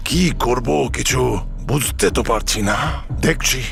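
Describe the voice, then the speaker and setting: A man's voice: mid-range voice, slow pace, vocal fry, fairly bright tone, full character voice. A man voicing a concerned village headman, grave and reassuring, in a cartoon fairy tale. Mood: troubled. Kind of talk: animated fairy tale acting.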